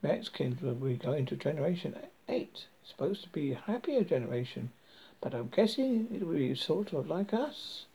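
A man with a husky, creaky voice reading a first-person story dramatically, haltingly and stumbling over words as a horror host. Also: fairly high pitch, big pitch swings, dark tone.

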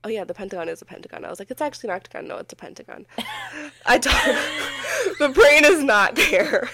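A woman's voice: high voice, a bit bright. A woman hosting a casual podcast chat while getting over a cold, scratchy in the throat and rambling with frequent giggles. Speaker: podcast host.

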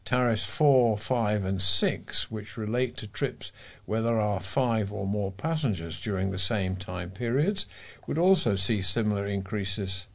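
A man's voice: on the low side, animated, a little slow, gravelly. A British man reading local news items aloud as a volunteer newsreader.

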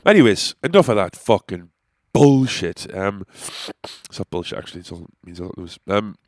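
A man's voice: low voice, sing-song, normal speed, darkish tone, no room sound, clean studio sound. Rambling, sweary man with stuffy nose. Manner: chatty, rambling, sweary, self-deprecating. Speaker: podcast host, comedian and musician. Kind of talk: casual rambling monologue.

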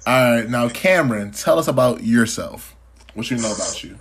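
A man's voice: mid-range voice, lively intonation, moderate pace, a crowd in the background. A young man hosting a podcast in casual chat, teasing his guests with profane banter and frequent laughter. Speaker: podcast host.

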